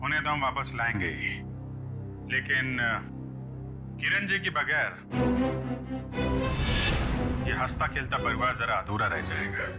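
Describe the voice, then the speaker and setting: This is a self-assured, accusing investigator from a TV crime drama acted by a man. The voice sits at a middle pitch and makes its points deliberately. Dramatic music plays very loudly behind it. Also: expressive, natural voice. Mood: measured, ominous. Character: stern, self-assured male police investigator, sarcastic and accusing. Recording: loud dramatic background music.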